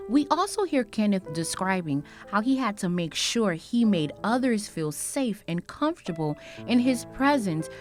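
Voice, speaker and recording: A woman with a lowish voice delivers documentary commentary. She explains each point in a measured way while her pitch moves with lively expression. Faint music plays underneath her voice. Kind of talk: analytical voice-over commentary.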